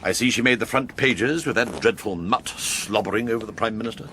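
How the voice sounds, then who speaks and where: An actor in a radio drama playing a suave, sly upper-class English intelligence agent, speaking in an arch, languid, plummy way. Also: male voice, fairly low pitch, brisk, bright, forceful tone, a little hiss or hum. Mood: breezy.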